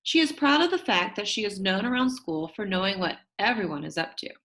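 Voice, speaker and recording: A woman's voice: mid-range voice, fairly quick. Woman reading a children's story aloud. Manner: animated storytelling with acted character lines. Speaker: audiobook reader.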